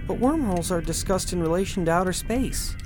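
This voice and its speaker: Actor as young college guy, curious and doubtful, highish voice, faint music. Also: animated, brisk, a little mellow. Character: sceptical, curious young male college student. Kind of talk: audio drama acting.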